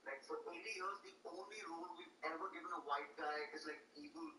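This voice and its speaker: A man's voice: fairly high pitch, fast talker, reverberant, recorded from a distance, a little hiss or hum. A man from India with an Indian accent, an actor and comedian guest telling playful stories in casual podcast chat. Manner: animated, playful storytelling.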